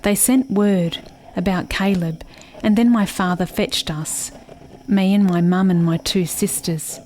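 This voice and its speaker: A woman acts a haunted young settler girl in a radio drama. She talks very slowly and dreamily, with incantatory repetitions, as if grieving inwardly. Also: mid-range voice, steady tone, a little mellow, a little hiss or hum, lightly acted, poor audio. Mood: dreamy, wistful. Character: haunted, dreamy settler girl mourning her lost brother. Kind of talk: literary radio play monologue.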